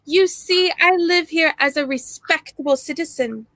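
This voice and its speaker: A woman's voice: high-pitched, moderate pace, sharp tone, no echo. A young woman playing a suave, menacing professor and secret spy in a 1930s radio play, genteel and theatrical. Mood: coolly menacing.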